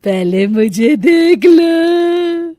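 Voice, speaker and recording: A woman voicing a dangerous, taunting witch in a cartoon story, with a drawn-out delivery. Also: very high voice, expressive, dark tone, dry recording, clean studio sound, pitched above the performer's own voice, full character voice. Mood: mocking. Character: dangerous menacing witch with big teeth, out hunting.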